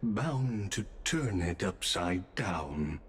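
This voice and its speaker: An actor playing a courteous, enigmatic and smoothly cunning gentleman in a video game, formal and theatrical in manner. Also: low-pitched, sing-song, exaggerated voice. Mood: knowing, solemn. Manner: formal, polite, unhurried and theatrical. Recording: background music behind the voice.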